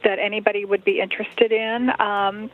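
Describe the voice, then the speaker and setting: A woman's voice: medium pitch, flat delivery, moderate pace. A woman who works in church faith formation, answering a phone call in a hesitant, polite and cautious way. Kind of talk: phone conversation. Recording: tinny phone line.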